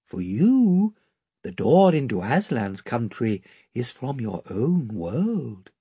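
Guy doing a sweet soft lamb, milky voice, slow and breathy. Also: medium pitch, big pitch swings, no echo, full character voice. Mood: gentle.

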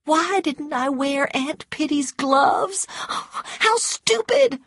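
A woman's voice: high voice, expressive, very slow, fairly bright tone, no echo, studio quality, pitched above the performer's own voice, exaggerated voice. A woman voicing an anxious, coquettish young Southern woman who pleads sweetly in an audiobook story. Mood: distressed.

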